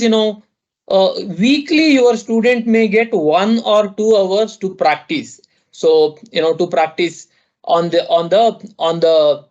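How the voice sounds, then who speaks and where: A man with an Indian English accent walks listeners through a product demo in a rather high voice. He talks haltingly, with frequent restarts and filler phrases. The audio sounds muffled and lo-fi. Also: a little mellow. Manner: halting, full of "you know" and restarts. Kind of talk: online sales demo presentation. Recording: dull lo-fi audio.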